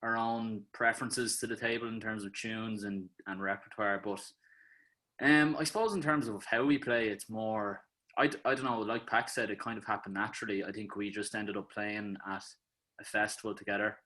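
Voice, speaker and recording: A man's voice: lowish voice, normal speed. A man with an Irish accent, a musician talking loosely on a video call about his band's music. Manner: relaxed, rambling. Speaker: band member. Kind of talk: casual interview chat.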